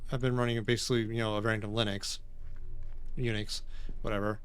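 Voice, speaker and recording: A man streaming a game, thinking aloud and chatting with viewers in a loose, unhurried way. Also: fairly low pitch, flat delivery, fairly bright tone, clean tone, soft background music. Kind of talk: casual live-stream gaming commentary.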